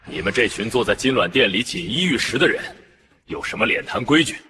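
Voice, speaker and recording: A man playing a stern, imperious emperor in an animated palace drama, commanding and declamatory. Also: mid-range voice, fairly quick. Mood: indignant. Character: stern, imperious emperor rebuking his court. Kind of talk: Chinese animated web drama voice acting.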